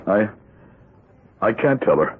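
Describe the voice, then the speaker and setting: An actor in a 1970s radio drama playing a despairing, hesitant married accountant deep in gambling debt. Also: male voice, animated, breathy edge, phone-quality sound, exaggerated voice. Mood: anguished, evasive. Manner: hesitant, halting, anguished. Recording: band-limited old radio broadcast, music behind.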